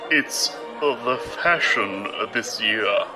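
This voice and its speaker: A man's voice: medium pitch, drawn-out words, music in the background, crowd noise. A man playing a courteous, loyal aide to a noblewoman in an audio drama, speaking formally, precisely and deferentially. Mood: polite, dry.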